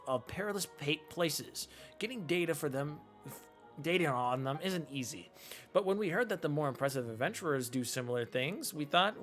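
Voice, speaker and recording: Theatrical game shopkeeper, played by a streamer: mid-pitched, animated, pompous, mock-polite, declaiming every flourish. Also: lightly acted. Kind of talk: reading video game dialogue aloud.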